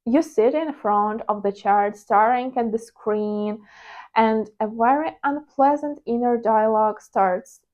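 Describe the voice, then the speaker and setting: Woman, Ukrainian accent, confessional vlog monologue, fairly high voice, dull lo-fi sound. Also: unhurried. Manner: candid, confessional, with hesitant fillers. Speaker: trading vlogger. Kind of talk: personal reflective monologue.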